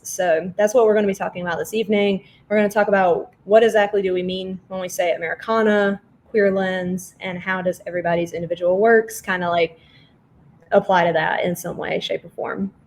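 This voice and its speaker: A woman's voice: mid-range voice, fairly even intonation, brisk, a little hiss or hum. A woman hosting an online artists' panel, introducing guests in a conversational way over a video call.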